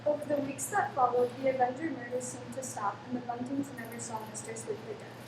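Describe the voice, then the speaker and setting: Quick high-voiced woman narrator, far off. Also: fairly even intonation. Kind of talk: dramatic scripted radio-play narration. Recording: distant mic, echoey room, clear noise.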